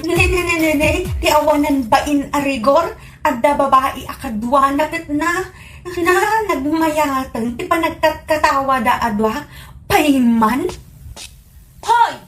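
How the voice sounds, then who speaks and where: A jealous, scolding Filipino wife played by a woman in a comedy radio drama. Her high voice nags and exclaims with a quick temper. Light music plays behind her. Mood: furious. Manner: nagging, exclamatory.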